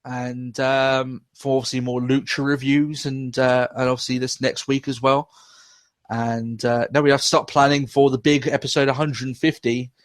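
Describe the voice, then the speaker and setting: A man's voice: medium pitch, level tone, normal speed, no echo. A young man hosting a podcast, reviewing wrestling in casual, profane chat with frequent laughs. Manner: profane, laughs often, rambling. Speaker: podcast host.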